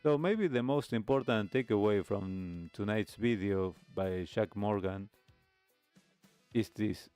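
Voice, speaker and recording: A man with a fairly low voice hosts a reflective radio segment. He speaks very slowly and deliberately, leaving pauses between phrases. Faint music plays behind him. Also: darkish tone, clean tone. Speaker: radio show host. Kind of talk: reflective talk show monologue.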